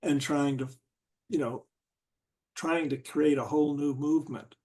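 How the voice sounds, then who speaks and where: A man in a casual group discussion, talking haltingly and searching for words with many restarts. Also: a little high, steady tone, warm tone.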